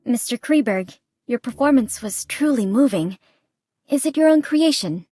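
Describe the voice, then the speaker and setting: An actress playing a poised, observant reporter and investigator in a video game, speaking in a measured, analytical, polite way. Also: female voice, a little high, expressive, dark tone, dry recording. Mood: polite, curious. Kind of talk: scripted game narration and dialogue.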